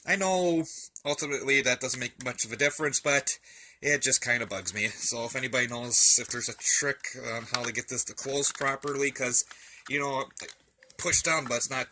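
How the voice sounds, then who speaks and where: A man's voice: mid-range voice, moderate pace, noisy background. A man giving a casual, rambling show-and-tell indoors, thinking aloud in a folksy, colloquial way. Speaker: hobbyist presenter. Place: indoors, in a room at home.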